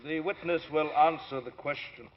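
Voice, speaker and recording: An actor playing a stern, dryly sardonic judge in an old radio courtroom drama, speaking with formal authority. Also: male voice, on the high side, moderate pace, natural voice. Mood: dry, disapproving. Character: dry, stern male judge presiding in court. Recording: dull lo-fi old radio recording.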